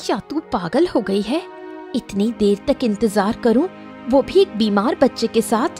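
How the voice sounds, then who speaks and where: A woman's voice: a little high, animated, measured pace, mellow, faint music behind. A woman voicing a caring but scolding elder sister who runs a hotel, in an urgent, emphatic cartoon story style. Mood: indignant, urgent. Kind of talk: Hindi cartoon moral story acting.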